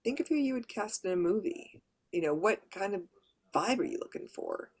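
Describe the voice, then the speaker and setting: A woman with a mid to lower voice who narrates audiobooks, giving a practical, conversational workshop presentation to writers. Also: animated, normal speed. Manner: practical, conversational, explaining step by step.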